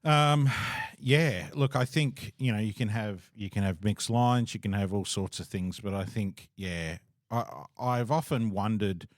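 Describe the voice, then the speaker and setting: Aussie guy hosting a chat podcast, lowish voice, rambles, laughs a lot, dry close sound. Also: measured pace, no echo. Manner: rambling, laughs often, many fillers. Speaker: podcast host. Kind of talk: casual interview chat.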